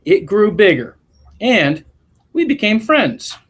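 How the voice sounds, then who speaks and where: Man, slightly high voice, very animated intonation, deliberate storybook reading, principal addressing children. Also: husky. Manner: expressive, deliberate storytelling delivery. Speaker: school principal reading to students. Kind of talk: live picture-book read-aloud with asides.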